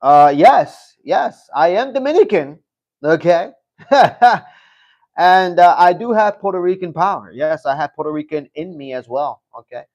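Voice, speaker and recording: A man with a fairly high voice talks loosely on a live stream, circling back over his own words and swearing now and then. The sound is dull and lo-fi, as on a home stream. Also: animated, measured pace, a little mellow. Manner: rambling, repeats himself, fillers and profanity. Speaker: livestream host. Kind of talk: casual live-stream commentary.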